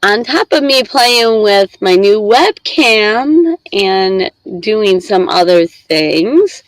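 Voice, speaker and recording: A congested middle-aged woman hosts a casual live stream, chatting and rambling to her viewers. She talks at a slow, easy pace with plenty of pauses. The sound is dry and close, with no room echo. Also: mid-range voice, lively intonation. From Michigan. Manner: chatty, rambling, reads chat aloud. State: congested with a head cold.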